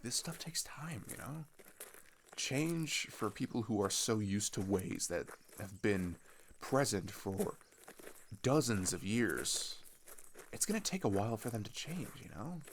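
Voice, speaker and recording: A man's voice: fairly low pitch, a little hiss or hum. A young man playing an earnest, frustrated teenage boy who rambles with hesitant restarts in a casual audio roleplay. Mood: earnest, exasperated. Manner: rambling, exasperated, hesitant restarts and filler words. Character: earnest, frustrated teenage male migrant venting about politics.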